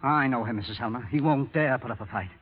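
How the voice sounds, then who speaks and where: A man playing a bitter, coldly calculating blackmailer in an old radio drama, taunting and scornful with a theatrical, deliberate delivery. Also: medium pitch, slightly rough, close to an everyday voice. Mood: fierce, ambitious. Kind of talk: 1930s radio drama acting. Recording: dull, lo-fi old radio recording.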